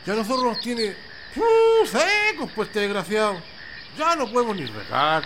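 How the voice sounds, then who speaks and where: A very high-pitched, animated country farmer from a radio play, acted by a man, with a rural Chilean accent. He grumbles in plain colloquial speech, indignant yet resigned. Birds and insects sound clearly behind him. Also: a little slow, exaggerated voice. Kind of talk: amateur radio play dialogue. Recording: outdoor ambience noise with birds and insects.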